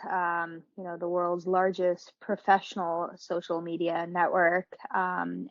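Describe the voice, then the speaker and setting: A woman's voice: fairly low pitch, dry recording, lo-fi sound. A woman giving reflective, conversational answers as a podcast guest, often adding "you know". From born in Pakistan, grew up in several countries. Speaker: podcast guest, marketing professional.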